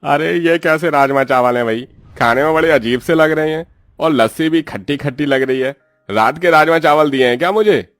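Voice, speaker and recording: A man's voice: a little high, moderate pace, fairly bright tone, dry recording, soft background music, natural voice. A man voicing a fussy, complaining diner customer in a cartoon, with a grumbling manner. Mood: displeased. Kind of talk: scripted cartoon dialogue.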